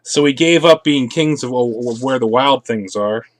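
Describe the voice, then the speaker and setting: A man reading a children's picture book aloud, expressively, with soft asides to his listeners. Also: medium pitch, a little slow. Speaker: storybook reader.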